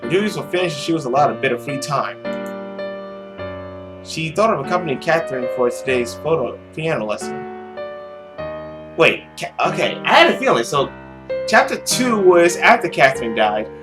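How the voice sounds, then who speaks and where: Animated man narrating, music behind. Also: on the high side, moderate pace, pauses often. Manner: animated, reacting to the story. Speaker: gaming video host. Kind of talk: reading a visual novel aloud with commentary. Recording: background music under the voice.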